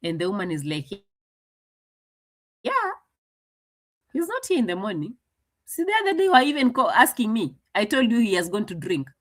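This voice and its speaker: A woman's voice: medium pitch, sing-song, moderate pace, pauses often. A woman commenting casually on a clip, translating and mocking with frequent laughs.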